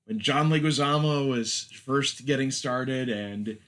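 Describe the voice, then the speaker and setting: A man's voice: medium pitch, measured pace, a bit bright. A man hosting a casual film chat, with a wry, joking manner. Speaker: podcast host.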